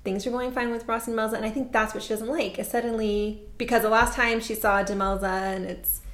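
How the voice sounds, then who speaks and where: A woman's voice: a little high, fairly even intonation, quick pace. A woman co-hosting a casual chat, talking through an episode in a conversational way. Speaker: podcast co-host. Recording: roomy echoey sound.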